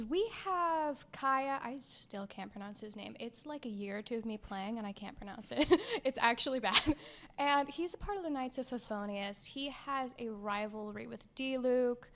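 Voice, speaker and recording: A woman's voice: fairly high pitch, measured pace, fairly bright tone. A young woman hosting a casual podcast, chatting about a video game in a playful, gushing, enthusiastic way. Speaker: podcast host.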